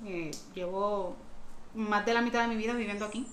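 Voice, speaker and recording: A woman's voice: mid-range voice, steady tone, moderate pace. A woman from the Dominican Republic, chatting casually as a podcast guest and dropping English fillers into her talk. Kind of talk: casual conversation. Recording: roomy echo with faint background hiss.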